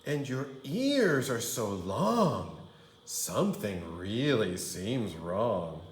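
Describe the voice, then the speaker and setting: A man's voice: lowish voice, big pitch swings, very slow, heavily acted. A young storybook girl, puzzled and suspicious, played by a man reading aloud. The voice lifts a little and swings animatedly through emphatic, wide-eyed questions in a roomy-sounding space.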